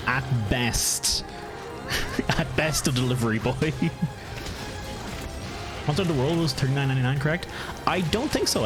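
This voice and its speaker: A relaxed Irish man with a Meath accent talks through his gameplay at a mid-range pitch, wandering off mid-sentence and picking the thought back up. Clear game music plays behind him the whole time. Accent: Irish, County Meath. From Ireland. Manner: easygoing, rambling, answers chat, frequent restarts. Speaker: game streamer. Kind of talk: casual live-stream chat while gaming.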